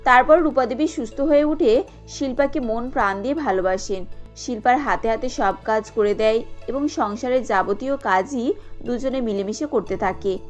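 A female narrator with a high voice tells a scripted domestic story. Music plays behind her. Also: moderate pace.

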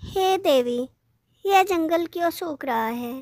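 A young forest fairy from a storybook, voiced by a woman. She is concerned and helpful, with a high, slow-paced voice and a dry, close sound. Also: lively intonation, a little mellow, no room sound, heavily acted. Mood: worried.

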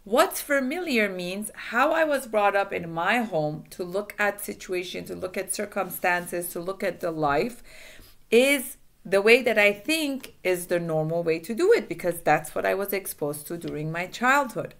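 A woman in her forties whose first language is Farsi talks straight to her webcam from a small indoor room. She explains ideas earnestly, repeating phrases for emphasis, in a mid-pitched voice. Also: animated, normal speed, a bit bright. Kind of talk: self-help talk to camera.

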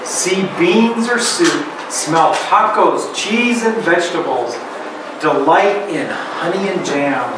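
A middle-aged man reads a picture book aloud at an unhurried, deliberate pace, lingering on each phrase and stopping to quiz the children. His voice sits a bit higher than most men's. It is picked up by a camera across an echoey, noisy classroom. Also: distant mic. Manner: slow, expressive storybook reading with pauses for questions. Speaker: guest reader. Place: elementary school classroom. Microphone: far camera.

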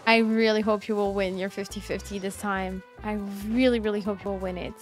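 A woman's voice: mid-range voice, steady tone, brisk. A woman in her twenties chatting casually as she live streams a game, reacting and answering viewers. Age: 20s. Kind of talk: casual live stream chat over gameplay. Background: game music.

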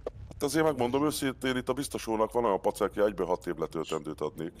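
A man chatting and joking casually as a player in a roleplay game. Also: fairly low pitch.

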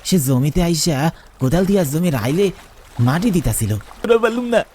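A man's voice: fairly high pitch, lively intonation, moderate pace, darkish tone, slight background noise, lightly acted. A man playing a fearful, deferential village man in a horror cartoon, speaking a rural eastern Bengali dialect urgently. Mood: fearful, ominous.

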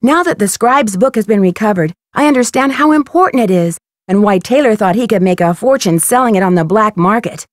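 A woman playing a young American amateur detective in a mystery video game, polite, earnest and inquisitive, speaking in crisp scripted lines. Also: mid-range voice, lively intonation, a little fast, hardly any pauses, no room sound, clean studio sound, lightly acted. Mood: cheerful, eager.

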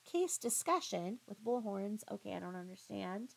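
A woman giving critical reaction commentary in a pointed, halting, questioning manner. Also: medium pitch, very animated, gravelly, rough recording. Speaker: commentary vlogger.

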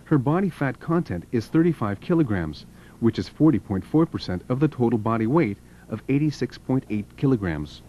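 A man's voice: mid-range voice, lively intonation, extremely slow, dark tone, slight background noise. A man narrating a scripted documentary as its narrator. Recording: dull lo-fi video soundtrack. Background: background music.

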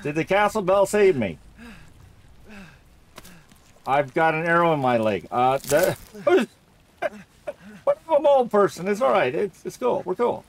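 A man's voice: sits high, big pitch swings, a little slow, gravelly, long pauses. A man giving casual video game playthrough commentary, jokey and thinking aloud as he goes.

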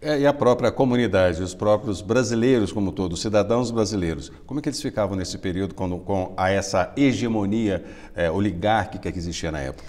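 A middle-aged man hosting a TV studio interview, asking hesitant, halting questions. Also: lowish voice, darkish tone, big-room sound. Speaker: interviewer host. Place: television studio. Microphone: lapel mic.

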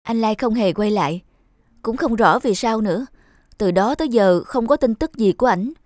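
Woman acting a high-voiced, tender young wife. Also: expressive, normal speed, a little mellow, dry recording, studio quality, natural voice. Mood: sad, guilty. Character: young wife, tender, wistful and guilt-ridden younger sister. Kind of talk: cartoon dialogue.

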